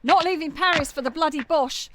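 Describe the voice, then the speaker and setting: An actress as a feisty young street singer of Paris in an audio drama. Her voice is high, her talk earthy, blunt and defiant, with faint noise behind it. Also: brisk, close to an everyday voice.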